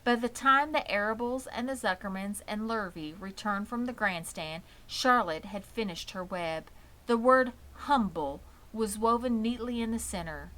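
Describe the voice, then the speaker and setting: A woman's voice: mid-range voice, sharp tone, poor audio. A woman reading a children's story aloud as scripted narration. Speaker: audiobook reader.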